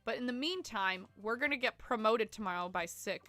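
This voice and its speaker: Young woman game streamer, congested with a cold, chatty and giggly, bright tone, dry close sound. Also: on the high side, animated, brisk, no room sound. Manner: chatty and giggly, many fillers. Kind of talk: casual live-stream gameplay chat.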